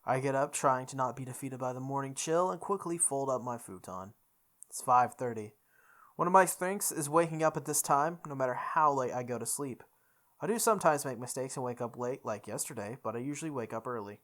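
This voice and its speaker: A let's play host reading a visual novel's story aloud in a deliberate way, with occasional stumbles. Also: mid-range voice, a bit bright. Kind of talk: read-aloud narration of a game's story. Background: background music.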